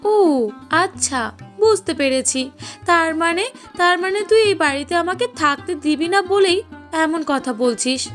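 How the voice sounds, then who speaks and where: A woman acts out a needy young woman in a cartoon drama, touchy and plaintive. The voice is very high and emphatic, with music playing underneath. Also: expressive, unhurried, fairly bright tone, full character voice. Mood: hurt. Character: pleading, touchy young woman friend seeking shelter. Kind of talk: cartoon dialogue acting. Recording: voice over prominent music bed.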